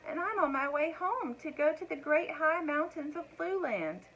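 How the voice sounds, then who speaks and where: Woman doing a high little baby dragon. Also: animated, normal speed, a bit bright, lo-fi sound, slight background noise. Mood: earnest. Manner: earnest, explaining. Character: friendly young male baby dragon.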